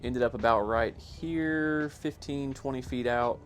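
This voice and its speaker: A man giving a casual, self-deprecating voice-over commentary on his own amateur sports highlights in a matter-of-fact way. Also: a little slow, slight background noise. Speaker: amateur disc golfer narrating own highlights.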